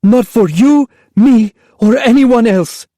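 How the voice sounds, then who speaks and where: Man playing a tired stern mother, sharp, impatient, raised voice, audiobook. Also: very high voice, slow, dry recording, studio quality, voice pushed up. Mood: bitter.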